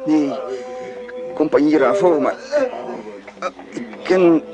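An actor playing a wounded soldier in a Dutch radio play, faltering and groaning in pain. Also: male voice, a little high, slow pace, muffled recording, over a noisy crowd. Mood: pained, weak.

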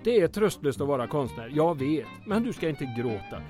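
An actor playing a harried, deferential town mayor in an old-time radio drama, hesitant, apologetic and formal. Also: male voice, a little high, very animated, mellow, faint music behind. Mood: placating.

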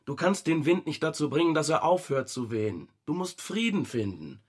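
Radio drama sage: a calm philosopher, lightish husky voice, measured and solemn. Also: male voice, a little high. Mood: calm, wise. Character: calm, philosophical male friend dispensing cryptic wisdom.

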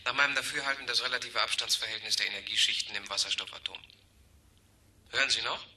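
An actor playing a brash, excitable scientist in a German radio play, talking in clipped, emphatic phrases. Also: male voice, fairly low pitch, quick pace. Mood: excited, insistent.

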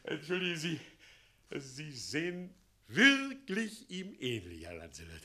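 A sly, half-crazed old-school mayor and father in a radio play. He fawns and giggles theatrically, his pitch lurching all over as he moves slowly from hush to shout. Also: big pitch swings, full character voice. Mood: fawning, giddy. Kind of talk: German radio play acting.

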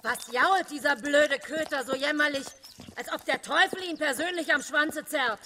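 An actress playing an irritable, easily offended 19th-century housewife in a radio drama, indignant and scornful. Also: female voice, high-pitched, moderate pace, sharp tone, slight background noise. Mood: scornful irritation.

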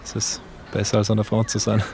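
A man's voice: lowish voice, monotone, quick pace, creaky, a crowd in the background. An actor playing a young, chatty male camera assistant from Vienna, speaking with a Viennese accent and dry irony. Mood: sardonic. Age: young adult. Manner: dry, ironic remarks. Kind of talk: radio drama acting.

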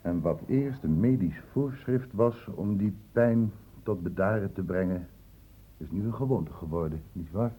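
An actor playing a stern, probing doctor in a Dutch radio drama, with measured, clinically precise questioning. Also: male voice, deep voice, lively intonation, dark tone. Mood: accusing, knowing. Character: probing, stern male doctor, calmly cornering a suspect. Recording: muffled phone-like old radio recording.

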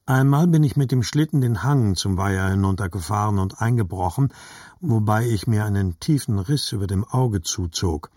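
An actor narrating as a grown man remembering his childhood, measured and reflective, in scripted literary style. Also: lowish voice, a little mellow, no echo, clean studio sound, lightly acted.

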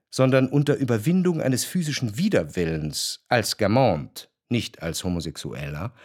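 A man narrating a literary radio play as a cultured, wryly ironic observer, measured and articulate. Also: medium pitch, no room sound, studio quality.

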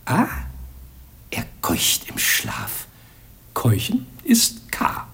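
A scheming clownish servant in a radio comedy. He is furtive and self-important, slow and creaky, leaping between hushed tones and exaggerated exclamations. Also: mid-range voice, huge pitch swings, whispered, exaggerated voice. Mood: sly puzzling. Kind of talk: comic radio play acting.

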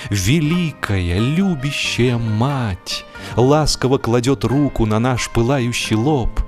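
A man narrating a literary story in an expressive, dramatic radio style, telling it in the first person with deliberate pauses. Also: mid-range voice. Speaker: audiobook narrator, actor. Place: radio studio. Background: music.

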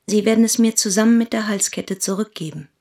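An actress playing an imperious yet anxious princess of old China in a German radio play, speaking formally and with command. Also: female voice, mid-range voice, quick pace, dark tone, close to an everyday voice. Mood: solemn.

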